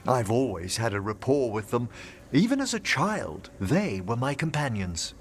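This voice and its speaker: Courtly game necromancer, gentle, ceremonious, eloquent, precise diction, very animated pitch. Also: male voice, moderate pace, slight background noise. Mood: wistful. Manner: formal, eloquent, musing. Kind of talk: video game voice acting.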